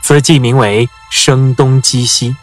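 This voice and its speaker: A man playing a calm, gentle-spoken military strategist in a period audio drama, with measured delivery. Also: medium pitch, faint music behind, natural voice. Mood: calm, assured.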